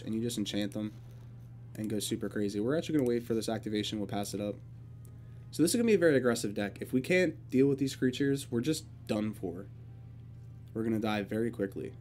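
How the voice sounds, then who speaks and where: A young man with a congested voice and a sore throat, casually talking through a digital card game as he plays and thinks out loud. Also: on the low side. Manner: relaxed, thinking aloud while playing. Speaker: gaming video creator.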